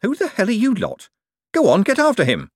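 A man's voice: lowish voice, expressive, normal speed, slightly rough, no room sound, studio quality. A man voicing a glib, commanding postmaster in an audiobook, snappy and indignant.

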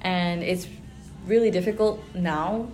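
A woman's voice: on the low side, takes it slowly, a little mellow, faint music behind. A young woman with a Tex-Mex accent whose first language was Spanish, co-hosting a casual podcast chat and slipping into Spanglish. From Rio Grande Valley, Texas. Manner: chatty, switches into Spanglish. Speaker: podcast co-host.

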